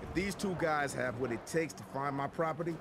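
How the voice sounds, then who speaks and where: A man's voice: a little high, fairly quick, gravelly, background noise. Irritable, commanding male music mogul. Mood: irritated. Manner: curt, emphatic, profane. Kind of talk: video game cutscene acting.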